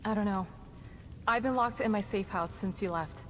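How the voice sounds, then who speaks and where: A woman playing an earnest, sympathetic ally in a horror video game, speaking in a serious, measured, sincere way. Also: mid-range voice, slight background noise, close to an everyday voice. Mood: shaken. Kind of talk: dramatic video game acting. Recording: long reverb, music behind voice.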